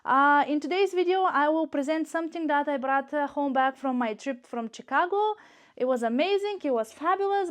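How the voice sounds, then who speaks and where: Bulgarian accent lady, high voice, gushy unboxing vlog chat, casual, repeats words for emphasis. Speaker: YouTube shopping and unboxing vlogger.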